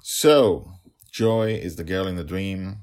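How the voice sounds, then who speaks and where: Deep-voiced man narrating a podcast. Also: slow pace, darkish tone. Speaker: podcast host and author-narrator. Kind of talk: scripted story narration with conversational hosting.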